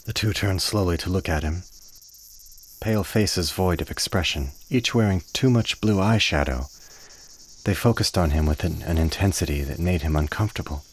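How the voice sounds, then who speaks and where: A man narrating a radio play in a measured storytelling manner. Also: low voice, a little hiss or hum, rough recording.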